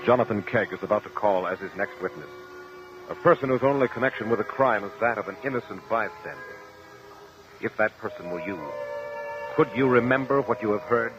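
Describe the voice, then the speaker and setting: A man's voice: on the low side, brisk. A man announcing a 1950 radio program in dramatic scripted narration. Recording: muffled old radio recording. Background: music.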